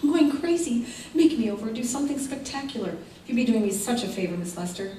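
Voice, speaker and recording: A woman's voice: fairly high pitch, lively intonation, fast talker, dark tone, lightly acted. A woman playing a pleading, distraught neglected wife in an old-time radio play on stage. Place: theater stage, recorded from the audience. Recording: echoey theater, distant mic, noisy.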